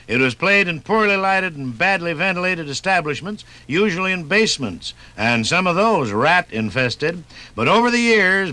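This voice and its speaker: A man's voice: sits high, pushed and bright. An American man reading scripted narration and sponsor messages as a 1950s radio host. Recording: 1950s radio broadcast recording, music.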